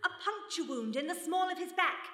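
Woman playing brisk English lady sleuth, very high voice, composed, crisp, 1920s radio play, echoey hall. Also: lively intonation, sharp tone, full character voice. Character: brisk, unflappable lady investigator in 1920s England. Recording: echoey hall, live stage recording.

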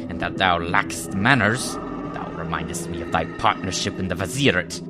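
An actor playing a proud, haughty elder brother vizier in an audio drama, speaking in an imperious, scornful way. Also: male voice, lowish voice. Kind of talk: radio drama acting. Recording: voice over loud music, from a distance.

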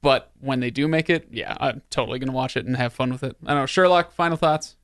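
A man's voice: medium pitch, quick pace, clean tone, no echo, studio quality. A man hosting a podcast in a casual, joking, self-deprecating way. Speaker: podcast host. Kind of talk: casual chat.

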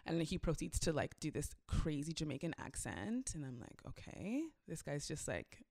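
A young woman who DJs, chatting casually and telling a story with lively shifts, acting out what people said. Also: low-pitched, moderate pace, vocal fry. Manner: animated storytelling, acts out quotes. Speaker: club DJ. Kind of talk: casual conversation.

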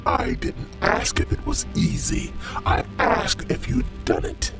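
An actor playing a stern, suspicious cyborg guard who talks in curt, interrogating phrases in an audio drama. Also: male voice, extremely high pitch, lively intonation, slow, creaky, full character voice. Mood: stern, demanding. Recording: music behind the voice.

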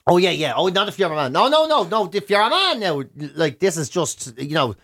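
A man's voice: high-pitched, sing-song, fairly quick, a bit bright, no echo. An Irish man co-hosting a radio show with comic, casual banter, mocking and rambling in colourful slang.

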